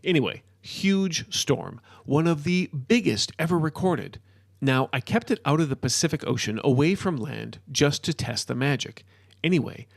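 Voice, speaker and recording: A man playing an excitable modern-day wizard in a storybook, ranting and lecturing in an emphatic, informal way. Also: a little high, creaky, dry recording, clean studio sound, voice pushed up. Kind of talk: storybook character dialogue.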